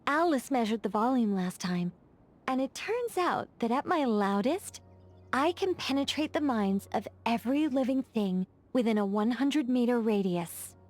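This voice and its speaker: A woman's voice: a little high, animated, normal speed. An actress voicing a poised, playful female mage in a game, talking in a wry, teasing, chatty way. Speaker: game character. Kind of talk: game voice lines.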